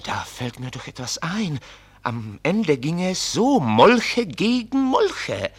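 Male confidant for radio drama: husky, lively intonation, probing and emphatic, quietly shrewd. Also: fairly high pitch, takes it slowly, heavily acted. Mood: insistent, persuasive. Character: shrewd, prodding male confidant questioning a storyteller.

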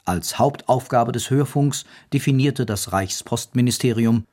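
A man's voice: medium pitch, measured pace, darkish tone. A man reading scripted narration for a radio documentary as its narrator.

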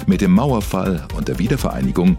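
A man reading a scripted mock-documentary biography in a measured, matter-of-fact way. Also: low voice, sing-song, mellow, soft background music. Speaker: documentary narrator.

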